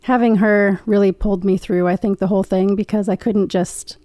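A woman in her forties, a podcast guest, talking quietly and softly with frequent hesitations. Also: mid-range voice, fairly even intonation, normal speed, no echo. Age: 40s. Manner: quiet, soft-spoken, hesitant with fillers. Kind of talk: casual interview chat. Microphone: studio podcast mic.

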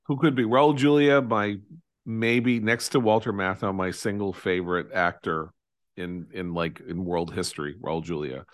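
A man hosting a casual chat, talking with enthusiasm in long digressions that trail off quietly. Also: low voice, moderate pace, no echo, clean studio sound.

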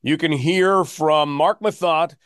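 A Canadian man hosts a sports podcast in a fairly high voice. He is recorded close and dry, with very little room around him. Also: slow pace, a bit bright, clear, resonant tone, no room sound.

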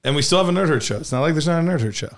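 Gravelly male podcast co-host. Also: a little high, lively intonation. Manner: quick banter, explains at length. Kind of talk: casual podcast chat.

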